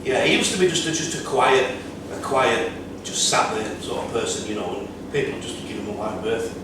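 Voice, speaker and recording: A middle-aged man with a Yorkshire accent, chatting as a podcast guest, telling quick anecdotes about his years on club doors and running events security. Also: mid-range voice. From Yorkshire, England. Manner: quick anecdotal storytelling with many yeahs. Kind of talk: casual podcast chat. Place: bar lounge, seated on a sofa. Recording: echoey room from far off, background hum.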